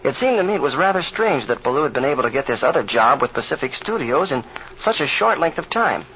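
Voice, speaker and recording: An earnest young pilot from an old radio adventure serial. His voice is bright and forceful, with boyish eagerness. The sound is muffled, with faint vintage hiss. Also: fairly high pitch, very animated, quick pace. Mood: earnest concern. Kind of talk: old-time radio drama acting.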